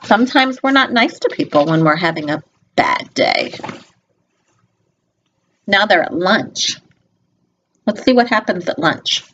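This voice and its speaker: A woman reading a picture book aloud to young children, expressive, with informal, gently instructive asides spoken directly to them. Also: mid-range voice, unhurried, long pauses, slight background noise. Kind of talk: read-aloud storytelling with chatty asides. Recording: dull lo-fi home video sound.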